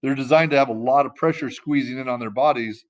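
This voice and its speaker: A man teaching a class in a casual, conversational way, often pausing to search for the right word. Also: medium pitch. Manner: loose and conversational, searching for words mid-sentence.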